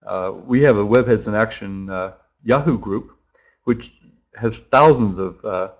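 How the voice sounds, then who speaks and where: A man's voice: lowish voice, unhurried, rough recording. Rambling middle-aged man thinking aloud. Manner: rambling, thinking aloud with many restarts and fillers. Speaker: interview guest, teacher. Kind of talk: conversational interview answer.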